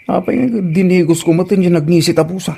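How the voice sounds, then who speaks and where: A man's voice: high voice, steady tone, fairly quick, gravelly, warm tone, husky, full character voice. A man acting a grumbling, put-upon village husband with an Ilocano Filipino accent and an exasperated, scolding manner in a comedy drama.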